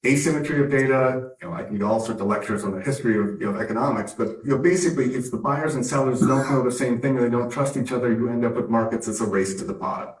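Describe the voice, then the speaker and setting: A man's voice: medium pitch, fast talker, hardly any pauses. A man from Canada with an American accent, giving an emphatic conference keynote speech with wry asides. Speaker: conference speaker, company founder. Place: conference hall. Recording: roomy hall from a distance.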